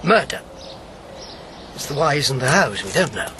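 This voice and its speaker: A man's voice: mid-range voice, sing-song, moderate pace, background noise, lightly acted. A man acting in a radio drama as a dry, bitter old wartime codemaster, sardonic and clipped. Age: 70s.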